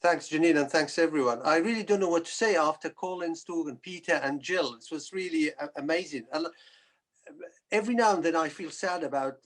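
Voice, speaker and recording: A middle-aged man from northern Iraq giving a personal account on a webinar, speaking in a halting, hesitant way. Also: a little high. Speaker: guest speaker, physician and polio survivor.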